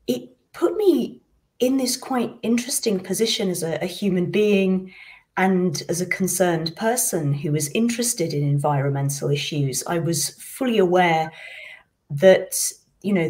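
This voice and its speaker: A woman from Britain speaks in a reflective, storytelling way. Her voice sits low. She talks over a video call. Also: a little mellow. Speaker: poet. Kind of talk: casual conversation.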